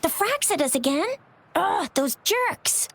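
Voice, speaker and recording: A chatty young girl sidekick in a game, really high voice, eager and casual, bounces all over. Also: very animated, slow, a bit bright, exaggerated voice. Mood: annoyed. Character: lively, chatty, informal young female companion. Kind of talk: animated game dialogue.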